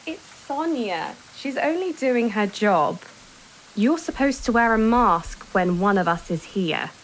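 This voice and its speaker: A woman's voice: fairly high pitch, expressive, takes it slowly, slight background noise, poor audio. A woman playing a patient, firm carer in an audio drama, speaking matter-of-factly. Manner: matter-of-fact. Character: patient, firm female carer of an old man.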